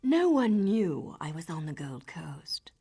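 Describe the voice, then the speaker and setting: An actress playing a cool, sardonic female elf assassin in a video game, with a husky voice and a dry, confident, often hushed delivery. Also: sits low, sing-song, takes it slowly, heavily acted. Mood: hushed, suspicious.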